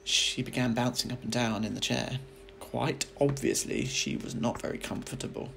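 Male storyteller, mid-pitched and lively, for children's audiobook narration in a modest home recording. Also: normal speed, gravelly, slight background noise, poor audio. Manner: expressive storytelling. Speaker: teacher reading aloud.

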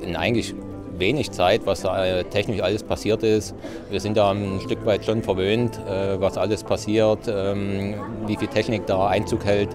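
A man's voice: on the low side, flat delivery, normal speed. A man in his forties giving unscripted interview answers as a business manager. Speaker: dealership managing director, interviewee. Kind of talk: spontaneous interview talk. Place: car showroom, indoors. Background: music.

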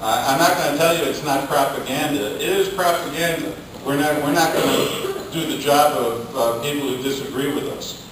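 A middle-aged man giving a measured, halting answer as a panelist at a conference. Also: on the high side. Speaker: panelist, labor union communicator. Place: hotel conference ballroom, recorded from the audience. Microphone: distant camera picking up the room sound. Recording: distant, very echoey room recording, faint noise.

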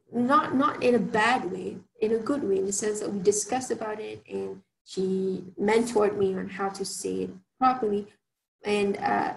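A woman from Malaysia talks through tips in an online workshop, her soft voice carrying a gravelly fry. She chats loosely, with fillers and restarts. Faint noise sits under her computer mic on the call. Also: medium pitch, low-quality recording. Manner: chatty, frequent fillers and restarts. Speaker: workshop speaker. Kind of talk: online presentation talk.

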